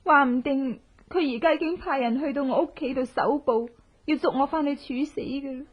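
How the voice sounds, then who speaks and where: An actress playing a grieving, humiliated general's widow in a melodramatic, anguished radio drama style. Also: female voice, high voice, moderate pace, lightly acted. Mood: resolute. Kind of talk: Cantonese radio drama acting. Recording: dull, lo-fi old radio drama.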